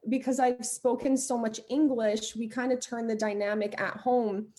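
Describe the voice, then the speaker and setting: A woman's voice: fairly high pitch, fairly even intonation, moderate pace, mellow. A young American woman, a podcast guest, telling stories about her childhood in an upbeat, chatty way. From United States. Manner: upbeat, laughs often while telling stories. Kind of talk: casual interview chat.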